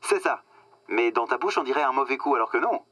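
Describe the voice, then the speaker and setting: A man's voice: mid-range voice, animated, brisk, pushed and bright, no room sound. Smug, condescending marketing man, dry sound. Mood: smug, lecturing. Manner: patronizing, glib, peppered with English business jargon. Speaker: voice actor in a radio drama. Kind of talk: satirical radio comedy dialogue, phone-call scene.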